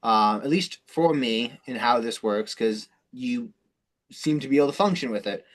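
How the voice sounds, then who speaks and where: A man's voice: mid-range voice, animated, normal speed. Rambling man in casual talk. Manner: rambling, informal, frequent swearing. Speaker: host of a self-improvement conference call. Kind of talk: casual, unscripted explanation on a call.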